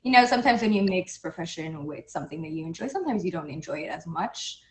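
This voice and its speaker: A woman's voice: lowish voice, animated, fast, a little hiss or hum. A woman from Canada whose first language is Portuguese, chatting as an interview guest in an easygoing, modest way. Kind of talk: casual interview.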